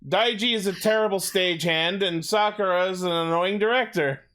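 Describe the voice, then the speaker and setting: A man's voice: high voice, a little fast, fairly bright tone. A man co-hosting a podcast, giving casual, mocking recaps with blunt, heavy swearing. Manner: blunt, heavily profane, mocking. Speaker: podcast co-host. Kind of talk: casual sarcastic recap commentary.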